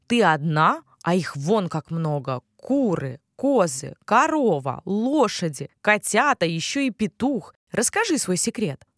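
A woman storyteller putting on a group of curious villagers in a children's storybook, asking eager questions in a raised, lively voice. The pitch swings widely, and the sound is dry and close. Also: unhurried, hardly any pauses, no room sound, exaggerated voice. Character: curious village folk, adults.

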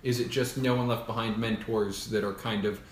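A man's voice: lowish voice, fairly even intonation, brisk. A man co-hosting a casual podcast interview, talking in a loose, rambling, playful way. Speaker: podcast co-host. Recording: echoey room with faint noise.

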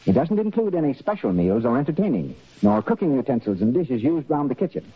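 A man's voice: mid-range voice, sing-song, fairly quick, breathy edge. A man announcing from a script in 1930s radio style. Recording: muffled 1938 radio broadcast.

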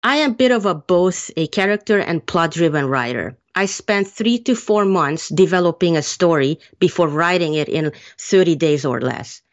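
A woman from Hungary with a fairly low voice answers interview questions in a measured, earnest way. The recording sounds muffled and lo-fi. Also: animated. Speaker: author being interviewed.

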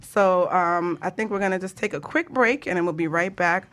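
A woman's voice: lowish voice, moderate pace, a bit bright. A woman hosting a radio show in a casual, chatty style. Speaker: radio show host and life coach.

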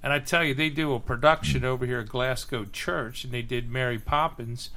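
A man's voice: medium pitch, steady tone, brisk, sharp tone. A middle-aged man, a guest on a casual podcast, telling stories at length. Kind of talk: casual chat.

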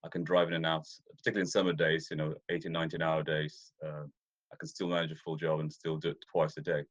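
Deep-voiced man, dull lo-fi sound. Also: little pitch movement, quick pace. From Ethiopia. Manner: rambling, many restarts and fillers. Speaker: interview guest, deer stalker. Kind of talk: casual interview chat. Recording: dull lo-fi audio.

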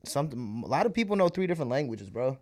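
A young man in a loose, slangy podcast conversation, often breaking into laughter. Malayalam was his first language, though he now talks in easy English. His voice sits at an ordinary middle pitch for a man. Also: sing-song, fast. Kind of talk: casual chat.

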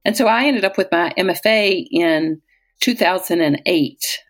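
An American woman in her sixties with a slightly low voice tells stories from her own life. She talks in a conversational, anecdotal way, slipping into the words of people she has known. It sounds like a personal interview with someone raised in Southwest Virginia. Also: a little slow. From Southwest Virginia, United States. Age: 60s. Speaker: interviewee, retired-age physician and poet.

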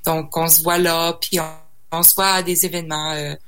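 A woman's voice: low voice, little pitch movement, normal speed, a bit bright, a little hiss or hum. A young woman from Saskatchewan, a singer guest in a casual interview, talking hesitantly with many fillers and restarts and slipping in English words. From Saskatchewan, Canada.

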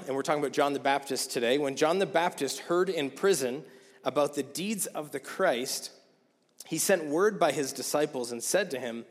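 A man in his thirties preaching a sermon in a casual, chatty way, his voice going hoarse. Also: fairly high pitch. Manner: casual, conversational, with many fillers. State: losing his voice. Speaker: guest preacher. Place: church sanctuary. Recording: long hall reverb.

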